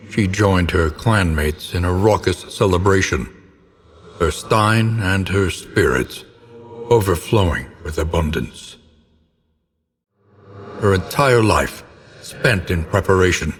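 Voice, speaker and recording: A grim, foreboding storyteller in a dark gothic game. The man's voice sits very low and moves slowly, each phrase weighed with theatrical gravity. It rings out in a long reverb. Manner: grave, theatrical, measured, ornate delivery. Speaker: narrator. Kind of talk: dramatic scripted gothic game narration. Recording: long reverb, noisy ambient bed.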